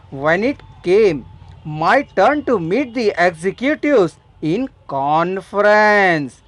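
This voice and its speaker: A man narrates a self-help book at an unhurried pace. His pitch rises and falls a great deal as he stresses key words. The voice sits in a dry, close space with no room echo. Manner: slow, deliberate read with lively emphasis. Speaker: audiobook narrator. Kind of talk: scripted self-help audiobook reading.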